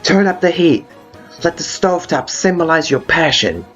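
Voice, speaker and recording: A man's voice: fairly high pitch, expressive, moderate pace, a bit bright, highs cut off. A man voicing a brash, hot-headed female monster guard captain with blunt, informal delivery in a video game fan dub. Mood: fired up. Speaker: character voice actor in a fan dub. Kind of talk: dramatic video game dialogue. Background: background music.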